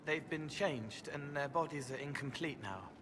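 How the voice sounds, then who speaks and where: An actor playing a gentle, otherworldly young man in a video game, speaking in dreamy, halting, poetic fragments. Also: on the high side, background noise, lightly acted. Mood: pensive. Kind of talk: video game dialogue acting.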